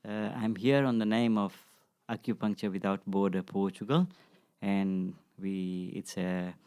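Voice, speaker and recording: Man, 60s, low register. Interview guest speaking as a teacher, reflective and measured. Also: flat delivery, a little mellow, clear, resonant tone. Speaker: guest, acupuncture practitioner and teacher. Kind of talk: unscripted interview answers.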